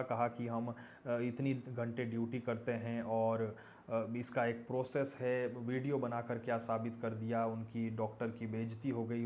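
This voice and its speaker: A male news presenter with a mid-pitched voice. He comments on events directly to viewers, leaning on key phrases and repeating them. His delivery often turns to pointed rhetorical questions. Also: darkish tone, a little hiss or hum.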